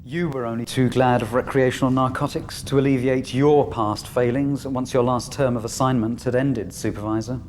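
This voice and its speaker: An actor playing a weary male overseer of the village, speaking in a measured, wry and quietly regretful way. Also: mid-range voice, fairly even intonation, a little hiss or hum, natural voice. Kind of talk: fan audio drama acting.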